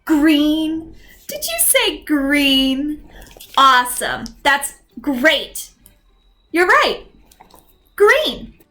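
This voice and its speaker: A young woman teacher reading a picture book aloud to young learners, slow and expressive, acting out the sound effects, then asking simple questions. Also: high-pitched, soft background music.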